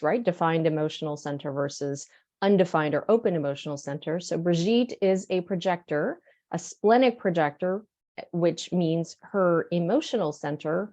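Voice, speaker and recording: Lower-voiced woman hosting a live stream. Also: darkish tone. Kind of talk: explaining to viewers.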